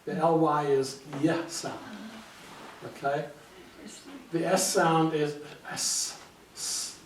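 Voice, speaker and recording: A man's voice: a little high, level tone, slow pace, slight background noise. An older man born in Hungary, giving a chatty guest talk at a library and often checking in with "okay?". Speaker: guest speaker. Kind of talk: informal library talk with slides. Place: library meeting room. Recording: echoey room, speaker far from the mic.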